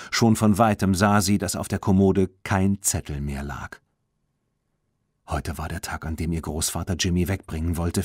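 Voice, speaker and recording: A man narrating a children's story as a scripted audiobook, in an expressive storytelling manner. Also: deep voice, breathy edge, no room sound, studio quality.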